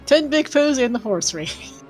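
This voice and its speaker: A woman commentating a live game race in a casual chat, her voice hoarse and tired, talking in loose rambling sentences full of fillers. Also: high-pitched. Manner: rambling, many fillers and restarts.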